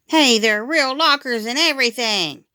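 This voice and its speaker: A woman voicing an excitable, stubborn little kindergarten boy in a storybook read-aloud, with loud, exclamatory childlike delivery. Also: on the high side, normal speed, smooth, no echo, clean studio sound, pitched above the performer's own voice. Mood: amazed.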